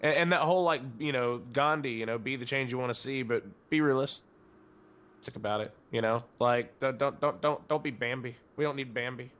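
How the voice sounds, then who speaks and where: A man with a rough, smoky voice and a faint Tennessee accent, speaking at an ordinary middle pitch. He talks bluntly and profanely in loose podcast chat, stumbling through restarts as he goes. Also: fairly quick, a bit bright, dull audio. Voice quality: rough smoker's voice. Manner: blunt, rambling, many restarts. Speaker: podcast guest. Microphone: podcast microphone.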